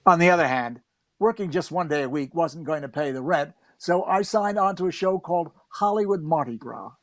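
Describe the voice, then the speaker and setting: Guy acting as wry old-time radio writer telling his story, conversational, lightish pitch, dry studio sound. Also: fairly quick, no room sound, lightly acted. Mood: wry, reminiscing. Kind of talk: scripted first-person narration in a radio play.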